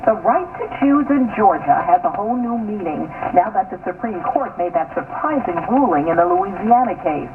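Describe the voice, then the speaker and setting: A woman's voice: mid-range voice, expressive, normal speed, hardly any pauses, recorded from a distance. Tinny AM-radio woman reading news. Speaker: news anchor. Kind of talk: scripted radio news narration. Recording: tinny, noisy AM radio aircheck.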